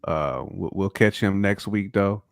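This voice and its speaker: A man's voice: low voice, unhurried, gravelly, clean tone, no room sound, clean studio sound. An American man co-hosting a podcast, chatting casually in a laid-back, slangy way. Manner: laid-back, slangy, peppered with "you know what I'm saying". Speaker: podcast co-host. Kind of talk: casual chat with shout-outs.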